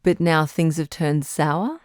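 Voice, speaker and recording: Phone-in advice host: patient, reassuring woman doctor, low-pitched, dry studio sound. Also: darkish tone, dry recording. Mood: attentive. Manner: measured, tactful, reassuring. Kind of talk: audio drama phone-in advice.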